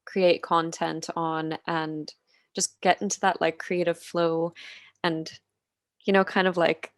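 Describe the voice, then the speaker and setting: A woman in her twenties from Finland chats on a video call, her voice fairly low and the sound dry and close. She speaks softly, giggles often, and fills her sentences with "like". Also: level tone, takes it slowly, no room sound. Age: 20s. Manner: soft-spoken, giggly, many "like" fillers. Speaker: podcast guest. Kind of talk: casual interview chat.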